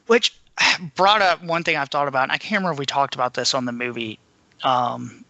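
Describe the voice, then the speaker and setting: A man's voice: medium pitch, brisk, gravelly, pushed and bright, dry recording, lo-fi sound. A man co-hosting a podcast in casual chat, thinking aloud as he goes. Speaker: podcast co-host.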